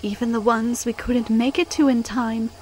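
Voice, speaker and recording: A woman's voice: on the high side, fairly even intonation, normal speed, a little mellow, slight background noise, lightly acted. A woman playing a caring female rescue crane in an audio drama. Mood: grave, hesitant.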